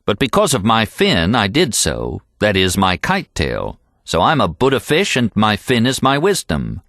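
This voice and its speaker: A man's voice: on the low side, huge pitch swings, moderate pace, fairly bright tone, no echo, studio quality, heavily acted. Man reading as an earnest, pondering American guy. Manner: serious, musing. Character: earnest, pondering young male Buddhist friend.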